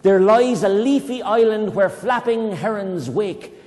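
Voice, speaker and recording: An Irish man reading a poem aloud to children, reciting slowly and dramatically and breaking off into playful, chatty explanations. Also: sits high, darkish tone. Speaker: poetry reader leading children. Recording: long reverb in a hall.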